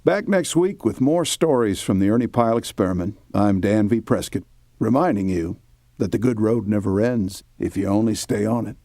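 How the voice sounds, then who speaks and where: A man's voice: on the low side, lively intonation, moderate pace, warm tone, dry recording. A man hosting and narrating an audio drama series in a scripted style. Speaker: host and narrator.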